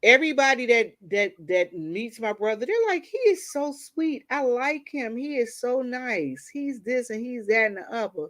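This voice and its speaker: Bright, high-voiced woman host. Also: normal speed, few pauses. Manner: animated storyteller, acts out quotes, laughs. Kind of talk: casual storytelling chat.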